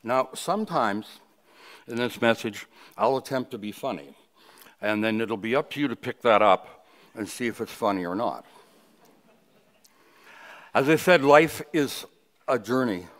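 A man of sixty-nine from Canada delivering a funeral sermon at a lectern, reflective and halting, with personal reminiscence. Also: medium pitch, animated, fairly bright tone. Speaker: funeral officiant, preacher. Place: indoors at a funeral home, at a wooden lectern. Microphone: lectern microphone.

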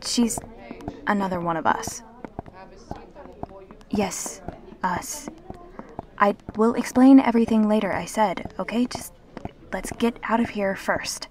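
A woman playing a gentle, reassuring revenant in an intimate audio roleplay, speaking earnestly and haltingly. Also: medium pitch, noisy background, natural voice. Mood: hushed, urgent. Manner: halting, earnest, soft-spoken pauses.

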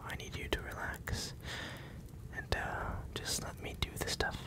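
A man voicing a calm, coaxing werewolf captor in an ASMR roleplay, close to the microphone, with soothing, slightly hesitant instructions. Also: unhurried, close to an everyday voice. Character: male werewolf captor, coaxing and calmly in control.

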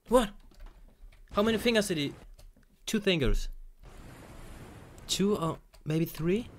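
A man in his twenties from Germany giving a casual, exclaiming reaction commentary, often questioning himself. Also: on the high side. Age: 20s. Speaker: host of a reaction channel. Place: small indoor room with shelves, at a desk. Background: music from the video he watches.

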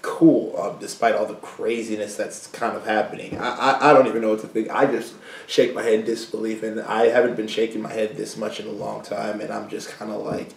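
A young man co-hosting a casual chat, animated and slangy, laughing easily. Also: lowish voice, a little fast, vocal fry, a little hiss or hum. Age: young adult. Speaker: co-host. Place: small room indoors, seated side by side. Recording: roomy echo, mic at a distance.

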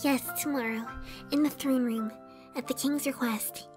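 A woman voicing a gentle, sad young pony queen in an animated fan drama, speaking hesitantly and with self-doubt. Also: sits high, moderate pace, over music, lightly acted. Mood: subdued.

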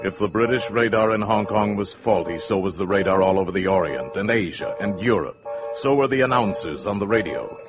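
An actor playing a portentous, measured male narrator in a 1950s science fiction radio play. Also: fairly low pitch, expressive, lightly acted. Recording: muffled old radio recording, loud music behind.

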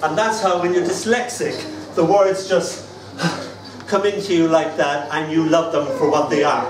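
High-pitched older Irishman performing, far off. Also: unhurried. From Ireland. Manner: theatrical, animated, rambling. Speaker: poet hosting an open mic. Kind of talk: live storytelling and poetry performance. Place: small indoor venue stage. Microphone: far camera. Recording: roomy, noisy, distant camera recording.

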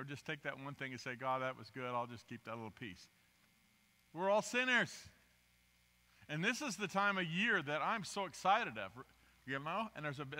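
An older man gives a storytelling sermon from a church podium, his slightly high voice bright and sharp. He wanders from point to point, poking fun at himself and swinging between loud emphasis and hushed asides. The sound carries a long reverb from a large sanctuary, picked up by a podium mic. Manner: rambling, self-deprecating, swings from loud emphasis to quiet asides. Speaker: guest preacher. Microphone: podium microphone, filmed from the pews.

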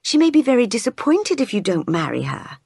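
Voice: mid-range voice, very animated, fairly quick, vocal fry, a little mellow, husky, dry recording, clean studio sound, voice pushed up, heavily acted. Storybook schoolmistress, voiced by a narrator: young woman, earnest, forthright, courteous. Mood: urgent.

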